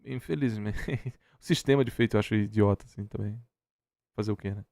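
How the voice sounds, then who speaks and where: A man's voice: on the low side, a little fast, gravelly, darkish tone, no room sound. A man with a Brazilian accent giving casual reaction commentary, often repeating himself for emphasis. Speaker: streamer reacting to a video.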